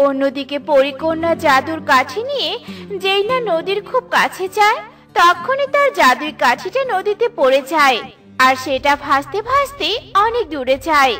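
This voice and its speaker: A woman's voice: sits high, sing-song, normal speed, sharp tone, faint music behind. A woman narrating a scripted children's story.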